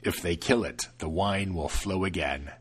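A man voicing a grumpy, suspicious old male devil in a slow, gruff growl. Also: low-pitched, pitched below the performer's own voice.

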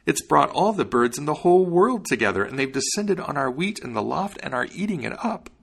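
A man playing a distressed wife in a storybook tale, in a plaintive, drawn-out manner. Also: fairly high pitch, very animated, vocal fry, a bit bright, breathy edge, pitched above the performer's own voice, exaggerated voice. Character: distressed adult woman, the wagoner's wife. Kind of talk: storybook character voice.

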